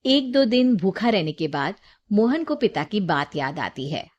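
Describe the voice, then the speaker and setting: A woman tells a scripted bedtime-style moral story as its narrator. Her voice sits at a medium pitch, with clear storybook phrasing. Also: sing-song, unhurried, darkish tone, highs cut off.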